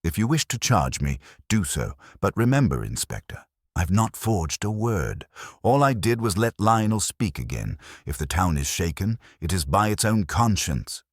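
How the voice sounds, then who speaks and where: A man voicing a proud, scornful woman schemer in a mystery story, speaking in a cold, sharp, deliberate way. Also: low-pitched, sing-song, slightly rough, no room sound, clean studio sound, voice pushed up, full character voice. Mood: composed, defiant.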